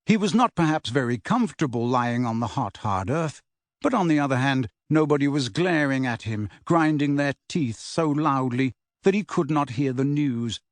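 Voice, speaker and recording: A man narrates a novel as an audiobook reader. His voice sits at a middle pitch and follows the written prose. The recording is dry, with no room sound. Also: no echo. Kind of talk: scripted story narration.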